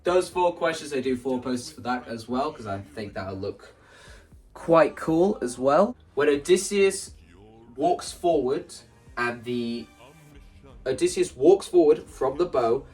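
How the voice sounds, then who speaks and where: A man gives a casual, animated walkthrough of staging ideas. He sounds some distance from the microphone, and music plays underneath as he talks. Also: medium pitch, takes it slowly. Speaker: video creator explaining stage play ideas. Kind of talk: casual spoken walkthrough to camera.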